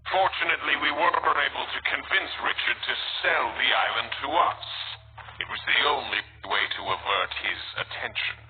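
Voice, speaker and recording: A man voicing a scornful, cunning Templar leader in a video game, theatrical and condescending in a deliberate delivery. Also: medium pitch, natural voice. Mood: smug scorn. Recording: tinny band-limited game audio, faint noise.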